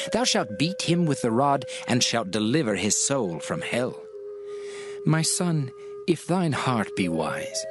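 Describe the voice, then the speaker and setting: A man giving a dramatized scripture reading, solemn, measured and emphatic in his delivery. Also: medium pitch. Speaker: scripture narrator. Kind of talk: dramatized scripted Bible reading in archaic English. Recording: music playing under the voice. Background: music.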